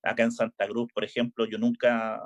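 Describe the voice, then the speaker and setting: Middle-aged Chilean coach, speaking in a relaxed interview, halting and full of fillers. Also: male voice, mid-range voice. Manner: hesitant, many filler "eh"s and restarts. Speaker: volleyball coach.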